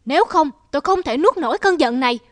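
A woman's voice: high voice, lively intonation, fast, no echo. A woman voicing an angry, vengeful young goddess in a cartoon, indignant and scolding. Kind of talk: animated cartoon dubbing.

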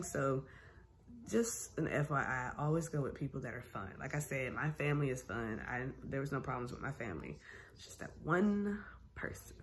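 A young woman chatting casually and candidly to her phone camera at home, tired and getting over a sinus infection. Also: a bass voice. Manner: candid, animated storytelling with laughs. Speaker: vlogger. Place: small room at home, seated in armchair. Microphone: phone camera at arm's length.

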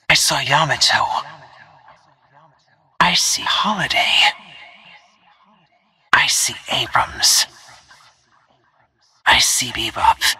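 A woman playing a stealthy, cool-headed assassin in a video game, giving terse callouts in a hushed, husky, breathy voice. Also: exaggerated voice. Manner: terse, clipped phrases in a low-key, controlled delivery. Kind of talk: short in-game callout lines.

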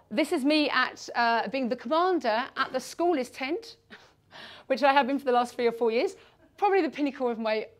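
A woman in her forties from England with a West Country accent, giving a self-deprecating, jokey presentation to an audience in a meeting room. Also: fairly high pitch. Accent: West Country English. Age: 40s. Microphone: far camera.

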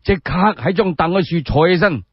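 A man with a Cantonese accent telling a story in an expressive, colloquial radio storytelling style. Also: high-pitched, moderate pace, breathy edge, no echo, lo-fi sound. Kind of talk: animated solo radio storytelling.

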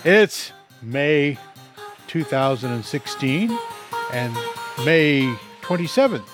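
A man from the United States hosting a panel podcast, introducing guests in a relaxed, chatty way. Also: on the high side, slow pace. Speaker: podcast host. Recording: music clearly behind voice.

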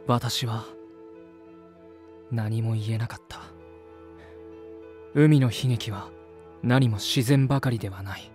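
A man's voice: medium pitch, slow pace, long pauses, darkish tone, faint music behind, close to an everyday voice. An actor playing an earnest, peace-loving, uneasy man, polite and reflective, in a voice drama. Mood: reflective sorrow. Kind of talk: voice drama dialogue and inner monologue.

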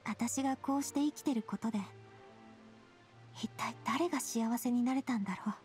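A woman's voice: on the high side, normal speed, darkish tone, natural voice. A woman voicing a sincere, vulnerable young woman boss in a Japanese anime game, with music behind her. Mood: downcast, self-doubting.

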